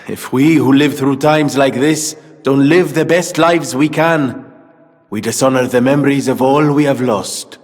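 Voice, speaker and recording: An actor playing an eccentric, earnest gentleman inventor in a video game, speaking in a theatrical, wordy way. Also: medium pitch, measured pace, big-room sound, natural voice. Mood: sincere, reflective. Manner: theatrical, verbose, exclamatory. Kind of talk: video game character dialogue.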